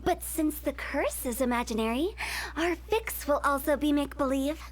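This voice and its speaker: A woman's voice: sits high, normal speed, a little hiss or hum, natural voice. A woman voicing a playful young female funeral parlor director in a video game, breezy and teasing as she explains things. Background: game music.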